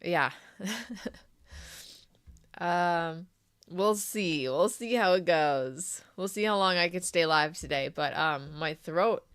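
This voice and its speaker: Woman, young adult, sick, sore throat, throat clearing, smooth clear voice, casual live stream chat. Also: lowish voice, slow, a bit bright. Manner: chatty, greets viewers, frequent throat clearing. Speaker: crochet livestream host.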